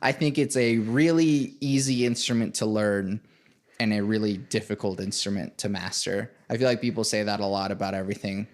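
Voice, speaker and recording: A man from Venezuela talks loosely about his life in music, his sentences looping through likes and small laughs. His voice sits a little on the low side. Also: a little fast. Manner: rambling, full of likes and fillers, laughs often. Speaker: composer and podcast host, interviewed as guest. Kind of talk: casual interview chat.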